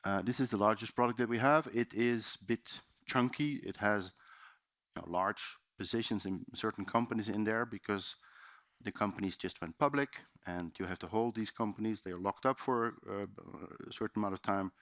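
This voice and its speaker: Man, Dutch accent, lowish voice, dry close sound, chatty seminar speaker, lots of ums. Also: moderate pace, a bit bright, no echo. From Netherlands. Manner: loose and chatty, many fillers and restarts. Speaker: conference speaker. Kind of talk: seminar presentation. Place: conference room.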